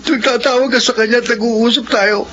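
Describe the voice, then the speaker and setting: A man's voice: very high voice, fairly even intonation, fairly quick, fairly bright tone. An actor playing a young man who ran away from home and got pulled into a theft, speaking in a hesitant, pleading way in a radio drama. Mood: tearful, bitter. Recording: dull lo-fi audio with background noise.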